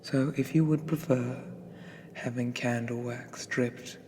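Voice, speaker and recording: Man acting as cruel dark elf captor, breathy whisper, unhurried, little pitch movement, condescending, quietly threatening, ASMR roleplay, audible ambience. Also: steady tone, slight background noise, lightly acted. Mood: cold menace. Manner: slow, deliberate, softly menacing, haughty.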